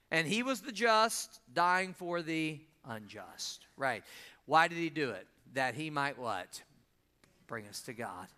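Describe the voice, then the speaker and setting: Man, fifties, pastor, call-and-response sermon, high voice, smooth tone. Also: sharp tone. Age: 50s. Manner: call-and-response preaching, asks the congregation to answer.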